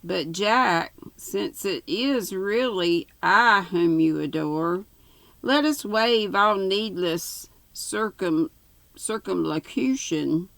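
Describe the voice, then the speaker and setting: A woman's voice: mid-range voice, lively intonation, slow pace, vocal fry, fairly bright tone, dry recording, heavily acted. A woman voicing a coy young farmer's daughter in love, gushing and demure in a flowery storybook melodrama. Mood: coy delight.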